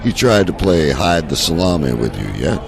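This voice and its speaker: An actor playing a sleazy, prying local show host in a radio drama, smarmy and falsely friendly. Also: male voice, deep voice, sing-song, normal speed, creaky, exaggerated voice.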